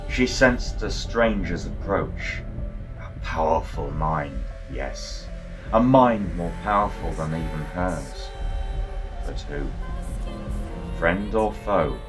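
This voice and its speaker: A man narrating a dramatic scripted story in a measured, portentous manner. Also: low voice. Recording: voice over music.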